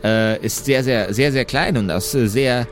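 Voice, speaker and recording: A man's voice: medium pitch, unhurried, lightly acted. A man playing a slight, fussy, eager map seller in an improvised fantasy audio play, stammering and repeating himself politely. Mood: shyly eager. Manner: stammering, repetitive, muttering, polite. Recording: music under the voice.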